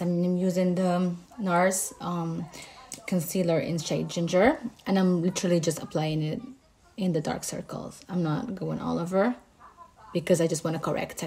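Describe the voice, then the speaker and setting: Moroccan-accented woman, lowish voice. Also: moderate pace. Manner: relaxed, explains each step while applying makeup. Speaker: makeup artist. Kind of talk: casual makeup tutorial.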